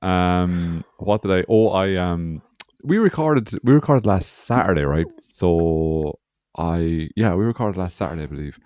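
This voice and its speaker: A man's voice: low voice, animated, measured pace, darkish tone, no echo. An Irish man in his forties, co-hosting a podcast with rambling, sweary, joking chat, sounding hungover. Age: 40s. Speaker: podcast co-host. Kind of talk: casual banter.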